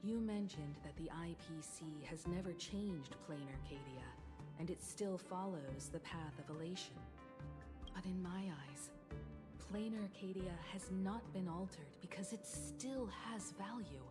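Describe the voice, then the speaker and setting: Game heroine, played by an actress: composed, idealistic woman, lowish register, formal and measured, music prominent behind. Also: close to an everyday voice. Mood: calm, assured. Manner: measured, rhetorical, formal phrasing. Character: composed, earnest, idealistic adult woman, space train navigator. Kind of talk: video game dialogue acting.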